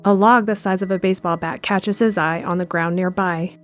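A woman narrating an audio drama in a measured, descriptive way. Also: on the low side, steady tone, soft background music. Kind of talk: scripted narration.

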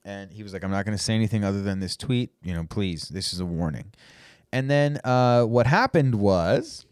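A man's voice: on the low side, lively intonation, moderate pace, darkish tone, clear, resonant tone, dry recording, clean studio sound. A man co-hosting a podcast, rambling in casual, profane chat with frequent restarts. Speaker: podcast co-host.

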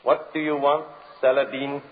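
An actor playing the summoned soul of a sleeping magician, defiant and trance-like in 1940s radio horror style. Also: male voice, medium pitch, level tone, natural voice. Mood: defiant, entranced. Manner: drawn-out, trance-like, halting delivery. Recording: tinny 1940s radio recording.

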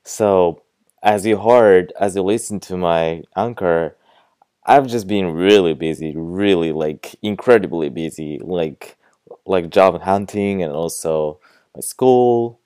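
A man's voice: deep voice, slow, warm tone, no room sound. A young man from Japan with a Japanese accent who speaks English as a second language. He hosts a casual podcast lesson and chats loosely, filling his talk with "like" and "you know". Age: young adult. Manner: chatty, fills speech with "like" and "you know". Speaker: podcast host and language teacher.

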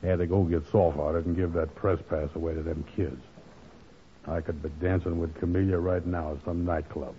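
A man playing a gullible, soft-hearted, grumbling fellow in a 1940s radio comedy, with hesitant, folksy, ungrammatical speech. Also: low-pitched, steady tone, moderate pace, natural voice. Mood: glum, self-pitying. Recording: muffled, noisy old radio broadcast.

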